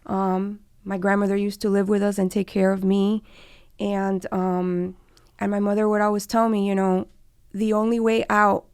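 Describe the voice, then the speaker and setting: Woman with a creaky, dry-sounding voice. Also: medium pitch, no room sound. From born in Cuba, came to the US as a child. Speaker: guest, immigration lawyer and law firm partner. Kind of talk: conversational interview answers, personal storytelling.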